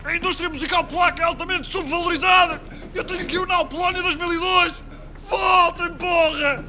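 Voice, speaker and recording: A man's voice: extremely high pitch, fairly even intonation, a little fast, sharp tone, some chatter behind, heavily acted. A man playing a desperate, indignant record shop owner in a comedy sketch. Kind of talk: exaggerated comedy sketch acting.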